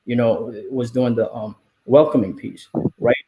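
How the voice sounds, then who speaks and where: A man's voice: mid-range voice, measured pace, lo-fi sound. A man speaking earnestly as a guest in a casual panel discussion, often checking in with "right?". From Somalia.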